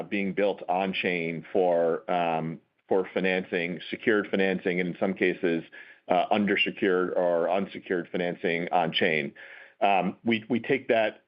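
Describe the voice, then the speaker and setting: Low-voiced man, halting executive on a muffled call. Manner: halting, explanatory. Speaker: company executive. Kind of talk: earnings call answer to analyst questions. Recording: dull, muffled conference-call audio.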